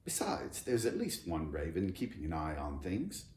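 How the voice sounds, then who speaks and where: Kindly knowledgeable gentleman, explanatory, reassuring, man's storybook voice, animated pitch, echoey room. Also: normal speed, heavily acted. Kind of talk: children's storybook reading. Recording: roomy echo, background noise.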